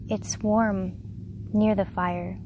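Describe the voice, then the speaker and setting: A woman leading a guided meditation in a gentle, unhurried, soft-spoken way. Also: medium pitch, flat delivery, a little hiss or hum, poor audio. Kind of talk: guided meditation, slow soothing narration.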